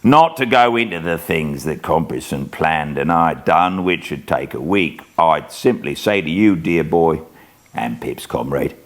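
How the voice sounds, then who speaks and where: Old rough convict, gruff, slow drawl, lively pitch, read by a man. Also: heavily acted. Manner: gruff, plain-spoken, drawling. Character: old rough male convict telling his past.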